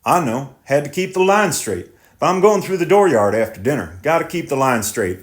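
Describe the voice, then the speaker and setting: A man's voice: fairly high pitch, sing-song, moderate pace, pitched above the performer's own voice. A man voicing a blunt young tractor driver. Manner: terse, clipped working-man talk.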